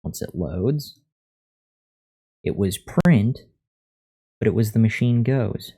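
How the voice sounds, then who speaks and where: A man chatting casually on a live coding stream, thinking aloud in a monotone voice into a USB condenser microphone. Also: lowish voice, slow, slightly rough. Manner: thinking aloud, reading out and answering chat. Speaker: live streamer learning to code. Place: untreated room at a computer. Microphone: USB condenser microphone at a desk.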